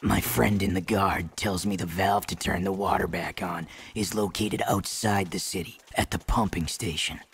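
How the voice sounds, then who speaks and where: An actor playing a gruff male rebel leader and former guard who speaks with dry sarcasm. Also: sits low, steady tone, normal speed, few pauses, fairly bright tone, full character voice.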